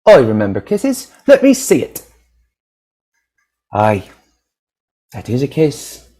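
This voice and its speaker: A woman's voice: very deep voice, wildly swooping pitch, takes it slowly, warm tone, full character voice. A woman playing an awed, earnest young boy in an audio play.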